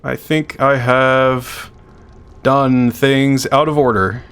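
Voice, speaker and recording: A man with a deep voice, chatting casually and thinking out loud while he streams a video game, swearing freely. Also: steady tone, slow, smooth.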